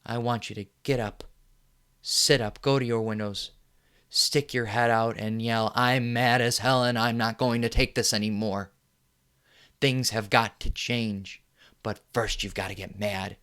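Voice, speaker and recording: An actor playing a furious middle-aged TV newsman who delivers an impassioned on-air rant that repeats itself and builds to yelling. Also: lowish voice, fairly even intonation, measured pace. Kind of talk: film monologue.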